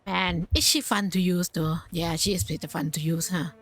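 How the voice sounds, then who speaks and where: A woman's voice: on the low side, fairly even intonation, normal speed, fairly bright tone. A woman livestreamer reacting casually to a game with slangy, offhand remarks. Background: game music.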